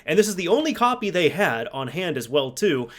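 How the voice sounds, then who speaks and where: A man with a slightly high voice speaks as a gaming video host. His delivery is casual and conversational. He leans on key words and restates points for emphasis. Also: big pitch swings, brisk, a bit bright. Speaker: video game YouTuber presenting to camera. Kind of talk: casual video commentary with personal anecdotes.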